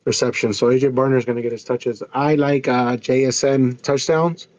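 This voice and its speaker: A man's voice: medium pitch, fairly even intonation, moderate pace, darkish tone, no room sound, dull audio. A man co-hosting a podcast, talking casually and hesitantly about sports picks with many fillers. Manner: hesitant, many ums and uhs. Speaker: podcast co-host.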